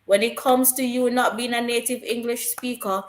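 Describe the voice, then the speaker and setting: A woman's voice: fairly high pitch, fairly even intonation, normal speed, echoey room. A woman co-hosting a live stream, answering viewers' questions about teaching jobs and repeating her key points to stress them.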